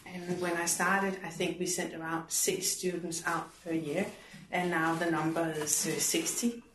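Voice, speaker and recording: A woman's voice: fairly low pitch, little pitch movement, measured pace. A middle-aged woman who works at a university, commenting in a seminar discussion. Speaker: university staff member in discussion. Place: classroom, indoors. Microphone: distant room microphone. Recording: distant, echoey room with clear noise.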